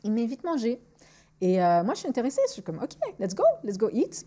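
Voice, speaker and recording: A woman's voice: a little high, brisk. A woman in her forties from Montreal with a Montreal French accent, hosting a podcast and telling candid stories while switching freely between French and English. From Montreal, Canada. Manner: lively, switches freely between French and English, repeats words when thinking. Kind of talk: candid conversational storytelling.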